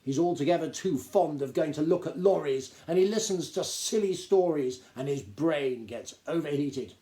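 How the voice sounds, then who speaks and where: A man's voice: expressive, normal speed, dark tone, natural voice. A man voicing a pompous, hot-tempered gnome duke who lectures and blusters. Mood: condescending. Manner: haughty, blustering, booming.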